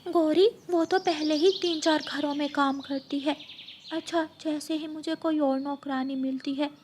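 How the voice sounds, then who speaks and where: Lady doing a helpful neighbour bird in a kids cartoon, high voice, chatty. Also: fairly even intonation, unhurried, darkish tone, slight background noise. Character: obliging female bird neighbour on phone.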